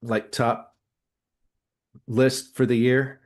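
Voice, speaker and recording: A man's voice: mid-range voice, little pitch movement, extremely slow. A man co-hosting a casual podcast, rambling and blunt, often searching for words mid-sentence. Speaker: co-host, musician.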